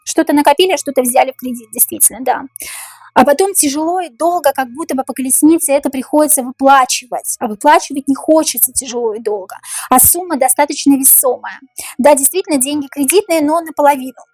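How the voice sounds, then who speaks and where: Tarot reader, woman, high voice going hoarse, dry close sound, mulls things over aloud. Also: darkish tone, no room sound. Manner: repeats each question slowly, muses over the cards. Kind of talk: tarot reading to camera, thinking aloud.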